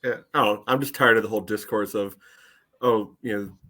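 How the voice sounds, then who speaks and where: A man co-hosting a soccer podcast, giving casual game analysis in a measured, conversational way. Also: lowish voice, fairly even intonation, sharp tone. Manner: measured, frequent "you know" asides. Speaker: soccer fan podcast co-host. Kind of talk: casual sports analysis chat.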